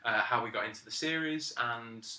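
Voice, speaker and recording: A man's voice: medium pitch, moderate pace, bright, forceful tone. A man hosting a casual, jokey podcast chat with friends, speaking in a hesitant, joking way. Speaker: podcast host. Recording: roomy, echoing amateur recording.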